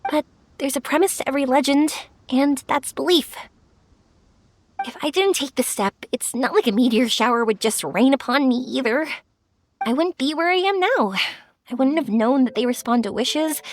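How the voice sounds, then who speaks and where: A woman's voice: sits high, moderate pace, a little hiss or hum, natural voice. A woman playing a cheerful, sincere, bubbly young woman in a video game, speaking expressively over music. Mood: sincere, hopeful. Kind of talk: video game character acting.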